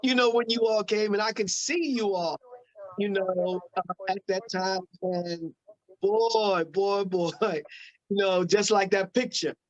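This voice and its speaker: A man's voice: sits high, measured pace, dry recording, dull audio. A man in his forties hosting a casual group conversation, laughing often and repeating words as he talks. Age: 40s. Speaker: host.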